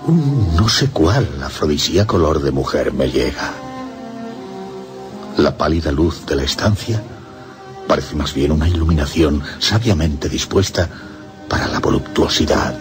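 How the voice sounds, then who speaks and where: An actor playing a tormented priest confessing forbidden passion in a radio horror drama, with a halting delivery that drops into breathy whispers. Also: male voice, very deep voice, expressive, music in the background. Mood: entranced.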